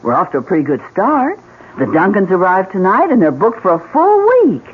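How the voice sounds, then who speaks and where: A woman's voice: mid-range voice, sing-song, fairly quick, slight background noise, natural voice. An actress playing a patient, optimistic wife who reasons with her husband, in 1970s radio drama style. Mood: reassuring. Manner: coaxing, reasoning. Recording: thin, phone-like old radio broadcast.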